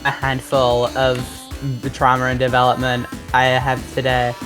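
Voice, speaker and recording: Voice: medium pitch, monotone, moderate pace. A speaker chatting casually over gameplay, retelling things in a rambling way and acting out quotes. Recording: loud game music behind the voice. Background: video game music.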